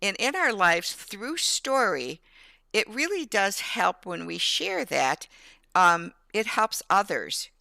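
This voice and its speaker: A woman hosting an interview, asking her guest questions in a slow, encouraging way. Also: medium pitch, big pitch swings, gravelly, sharp tone, no room sound. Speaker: host.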